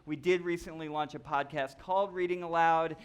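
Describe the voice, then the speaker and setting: Man presenting, slightly high-pitched voice. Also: steady tone, a little fast, background noise. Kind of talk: live slideshow talk to an audience.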